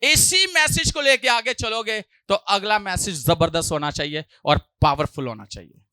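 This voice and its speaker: Bright-voiced preacher. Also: male voice, sits high, expressive, normal speed, clear, resonant tone, dry recording, clean studio sound. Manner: preaching with loud rises on hallelujah and jokey asides. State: sore throat, clearing his throat. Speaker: pastor. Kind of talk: sermon.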